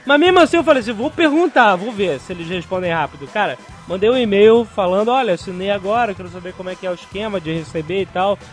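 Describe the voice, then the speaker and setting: A Brazilian man hosting a podcast, chatting excitedly and casually with his co-hosts. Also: sits high, fast, few pauses, soft background music. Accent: Brazilian Portuguese. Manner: excited, chatty, keeps saying "cara". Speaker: podcast host. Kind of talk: casual podcast chat and sponsor read.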